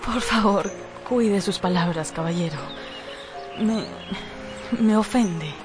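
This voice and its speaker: An actress playing a romantic, flustered married gentlewoman in a melodramatic radio drama. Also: medium pitch, slow, close to an everyday voice. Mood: flustered resistance. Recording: music behind.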